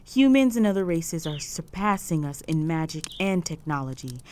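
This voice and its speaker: A woman playing a stern, proud, possessive female orc chieftain in a roleplay audio drama, speaking in a formal, measured, authoritative way. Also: low-pitched, big pitch swings, background noise. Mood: commanding, stern.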